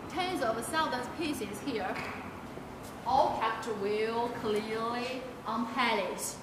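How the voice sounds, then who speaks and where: A young woman guiding viewers through a factory floor and warehouse, explaining each step and pointing things out. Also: a little high. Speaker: factory tour guide. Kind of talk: guided factory walkthrough. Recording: echoey factory hall with loud background noise.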